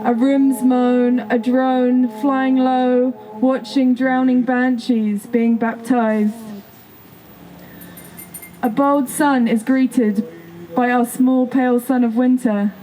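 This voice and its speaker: A woman giving a poetic performance recitation in short, measured, incantatory phrases, as in a performative lecture. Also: high voice, level tone, mellow, slight background noise, poor audio. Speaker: performance artist.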